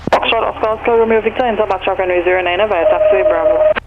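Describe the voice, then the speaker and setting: A man working as an air traffic controller, giving clipped clearances over an aircraft radio. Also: sits high, very fast, narrow, tinny audio. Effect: aircraft radio transmission. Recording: noisy aviation radio with vehicle hum.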